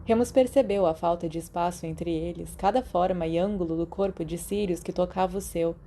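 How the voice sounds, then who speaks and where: Mellow, lowish Brazilian woman narrating a story. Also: fairly quick, slight background noise. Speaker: audiobook narrator. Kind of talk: expressive scripted story narration.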